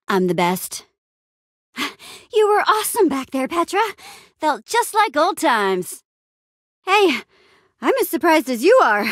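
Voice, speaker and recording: A spirited young heroine from an adventure video game. Her voice is high and swings widely in pitch, with a plucky, playful manner. The delivery is unhurried and the recording is close and dry. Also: fairly bright tone, no room sound, heavily acted. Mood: warm, upbeat. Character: spirited young female adventurer and town leader.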